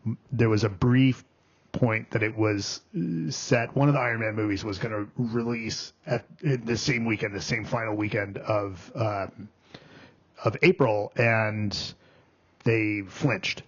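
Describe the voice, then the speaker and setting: A man reviewing a film on a podcast, talking casually with frequent ums and restarts. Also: fairly low pitch. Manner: halting, many ums and restarts. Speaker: film critic, podcast co-host. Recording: dull lo-fi podcast audio.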